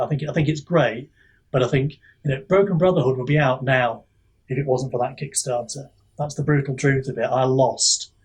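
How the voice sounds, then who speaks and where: A man with a husky voice talking candidly and at length as an author guest in a casual chat. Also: medium pitch, poor audio.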